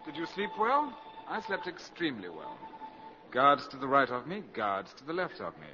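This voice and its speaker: An actor playing a suave, self-assured valet turned spy in a 1950s radio drama, sardonic and theatrically polite. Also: male voice, mid-range voice, huge pitch swings, normal speed, background noise. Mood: mocking. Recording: dull old 1950s radio recording.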